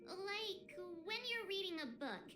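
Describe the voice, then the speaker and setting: A woman's voice: very high-pitched, expressive, measured pace. A woman voicing an earnest, wistful young girl in a video game, with a girlish, reflective delivery. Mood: earnest, troubled. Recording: game audio under loud music.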